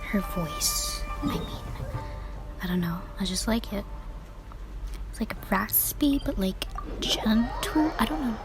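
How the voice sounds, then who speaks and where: A woman's voice: mid-range voice, expressive, slow, long pauses, over music. A woman giving casual commentary on a game as she plays, informal and slangy, thinking aloud. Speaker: streamer reacting to game story.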